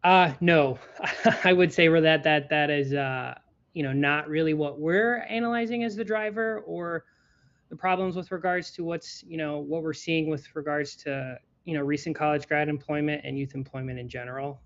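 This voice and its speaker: High-voiced halting man, lo-fi audio. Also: steady tone, fairly bright tone. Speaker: policy advocate interview guest. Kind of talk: live news interview answers.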